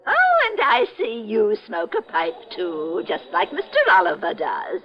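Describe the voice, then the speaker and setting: An actress playing a flustered, nearsighted old bank clerk, fussy and exclaiming, in 1950s radio drama style. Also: female voice, mid-range voice, huge pitch swings, unhurried. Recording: dull vintage radio recording, crowd murmur.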